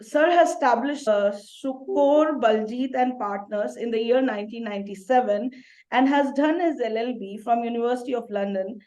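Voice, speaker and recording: A female interview host with a slightly high voice reads a formal introduction. She stays polite and deferential, addressing her guest as sir. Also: normal speed, mellow, rough recording. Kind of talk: scripted introduction and interview questions.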